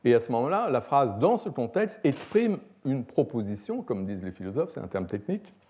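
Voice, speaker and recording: Male academic lecturer, 60s. Relaxed dark timbre, measured explanatory delivery with hesitations. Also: medium pitch. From France. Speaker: philosophy professor. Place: lecture hall, at a lectern.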